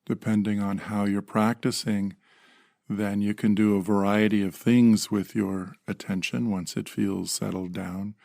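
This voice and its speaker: Male meditation guide: low, gravelly voice, calm measured phrasing with generous pauses, very dry sound. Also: no echo.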